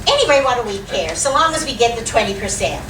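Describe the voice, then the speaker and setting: A woman's voice: on the high side, very animated, fast, fairly bright tone. A woman playing a dreamy, chatty, optimistic wife in a live radio comedy, gushing theatrically. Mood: reassuring. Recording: echoey hall, distant mic, faint noise.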